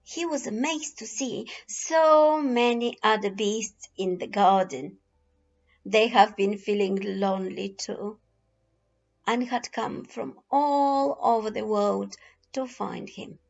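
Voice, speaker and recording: A woman narrating a children's picture book expressively and deliberately, with dramatic pauses and drawn-out phrases. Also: medium pitch, creaky. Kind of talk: slow expressive storybook narration. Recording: dull lo-fi recording with music behind. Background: music.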